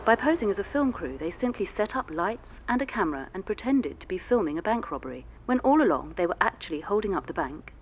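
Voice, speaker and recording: Formal newsreader, lively intonation. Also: female voice, mid-range voice, fast talker, hardly any pauses, slight background noise, poor audio. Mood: measured. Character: female television or radio newsreader, composed and formal. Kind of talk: news bulletin reading.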